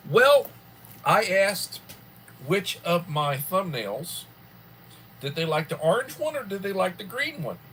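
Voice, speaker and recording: A man in his early sixties hosting a casual livestream from home, rambling and filling pauses often. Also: sits high, sing-song, a bit bright, slight background noise, low-quality recording. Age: 60s. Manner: rambling, with many fillers. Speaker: livestream host.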